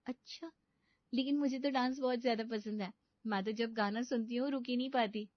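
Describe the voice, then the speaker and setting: A woman playing a sweet, eager young bride in an animated comedy story, speaking gently. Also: fairly high pitch, a little fast, no room sound, lightly acted. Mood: sweet, curious. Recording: dull, lo-fi studio voice-over.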